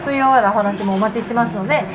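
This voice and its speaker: A woman hosting a casual podcast chat, telling stories in an animated way and acting out remarks. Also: mid-range voice, rapid-fire. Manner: animated storytelling, acts out quoted remarks. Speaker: podcast host. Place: restaurant, recorded on location. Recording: busy restaurant, music and chatter behind. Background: music and crowd chatter.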